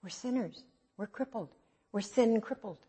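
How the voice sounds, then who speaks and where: A woman with a low voice preaching an informal, earnest sermon, swinging from loud to soft. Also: normal speed, husky.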